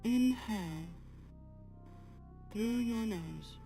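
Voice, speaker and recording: Woman as a polite, slow-spoken AI assistant. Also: a little high, vocal fry, dark tone, soft background music. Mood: calm, guiding. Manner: measured, halting, formal, avoiding contractions. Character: polite artificial-intelligence female assistant, apologetic and gently earnest. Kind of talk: slow guided talk to a live chat.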